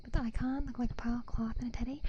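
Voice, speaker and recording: A woman streamer chatting softly and casually to viewers in a breathy, whispery ASMR style, gentle and playful, close to the microphone. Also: a little high, steady tone, very fast, highs cut off, noisy background. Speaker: livestream host. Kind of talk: soft-spoken ASMR, casual chat with viewers. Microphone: close binaural ASMR microphone.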